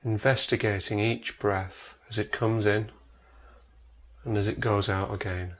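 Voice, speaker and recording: A man narrating a guided meditation as an instructor, in a measured way with long pauses between phrases. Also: fairly low pitch, steady tone.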